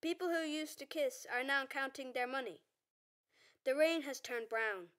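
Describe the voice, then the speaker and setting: A woman's voice: high voice, moderate pace, fairly bright tone. A woman playing an earnest young messenger reciting a warning to a town in a radio play.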